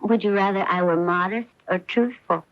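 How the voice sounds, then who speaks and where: An actress playing a sweet, self-assured and determined young wife in a radio mystery drama. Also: female voice, medium pitch, moderate pace, dry recording, natural voice. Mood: playful, candid. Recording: dull lo-fi AM radio broadcast.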